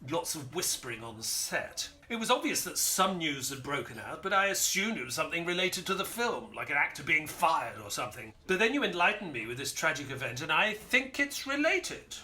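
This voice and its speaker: A man's voice: a little high, big pitch swings, a little fast, few pauses, bright, forceful tone, heavily acted. An actor in an audio play as a mild, affable male doctor and detective's sidekick, polite and a little hesitant. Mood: earnest, curious. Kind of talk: audio play acting and narration.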